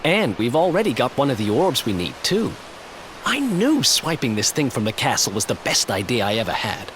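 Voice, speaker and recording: A man's voice: mid-range voice, very animated, moderate pace, a bit bright, slight background noise. An actor playing a cocky young male thief in a video game, talking casually and cheekily. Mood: cheeky.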